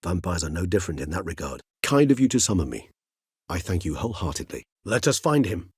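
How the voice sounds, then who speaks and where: An actor playing a courteous, erudite, world-weary centuries-old vampire in a video game, speaking in measured, eloquent, formal phrases. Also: male voice, low voice, sing-song, breathy edge, dry recording, clean studio sound, full character voice. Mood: grave, earnest. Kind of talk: video game character dialogue.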